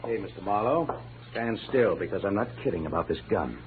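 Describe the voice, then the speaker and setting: A man's voice: on the low side, lively intonation, fairly quick, lightly acted. An actor playing a guarded, menacing bookie in a 1940s radio drama, curt and wary in his speech. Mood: quietly threatening. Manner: curt, wary, hesitant when questioned. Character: guarded, menacing male bookie posing as broker. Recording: tinny, hissy vintage radio broadcast.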